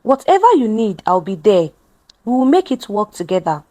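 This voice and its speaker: Woman reading as an earnest, thoughtful husband, animated delivery. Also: medium pitch, moderate pace. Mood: encouraging. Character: supportive, earnest, slightly insecure husband and young father.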